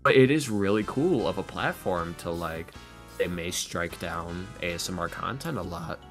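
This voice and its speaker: A man who makes roleplay videos, talking casually as a podcast guest and acting out quotes as he tells stories in an animated way. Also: low voice, normal speed, music in the background. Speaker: interview guest, ASMR roleplay video creator.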